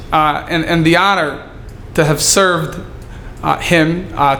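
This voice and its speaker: Man, higher pitch, earnest measured speech, echoey hall with light background hum. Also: fairly bright tone. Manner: earnest, measured delivery with some halting fillers. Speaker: city staffer giving a speech. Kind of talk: prepared public speech. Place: event hall. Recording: echoey room with faint ambience.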